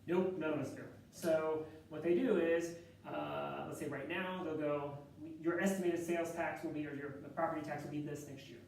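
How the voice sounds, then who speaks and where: A man with a loud, slightly high voice lectures on math in a relaxed, chatty style. He thinks aloud, corrects himself mid-sentence and fills pauses with ums. He is heard from a distance in a very echoey classroom with faint room noise. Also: gravelly. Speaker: math instructor.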